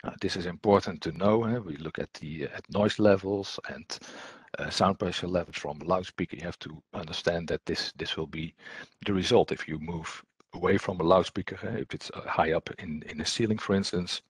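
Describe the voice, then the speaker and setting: A man giving an explanatory technical presentation as a webinar trainer, speaking in a hesitant, halting way. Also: sits low, slightly rough, dry recording.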